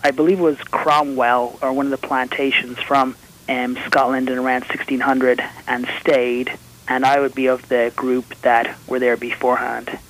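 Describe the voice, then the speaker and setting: A man with an Irish accent softened toward American, calling in by phone as an author and lawyer, explaining in a measured, hesitant way. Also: medium pitch, level tone, low-quality recording. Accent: Irish, partly Americanized. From Republic of Ireland. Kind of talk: call-in radio interview. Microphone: telephone line.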